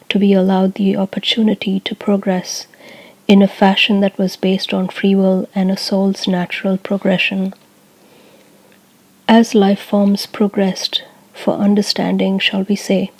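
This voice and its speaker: A woman speaking as a spiritual channeler, delivering a scripted discourse in a formal, measured, solemn manner. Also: mid-range voice, darkish tone, dry recording, poor audio. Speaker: channeler speaking as a group of light beings.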